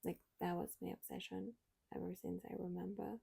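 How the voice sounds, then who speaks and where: Woman, 20s, Armenian native from Iran; mellow, gravelly, hushed vlog talk. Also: on the low side, level tone, brisk. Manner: soft, hesitant, many fillers and restarts, often near a whisper. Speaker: vlogger. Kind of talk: candid personal vlog.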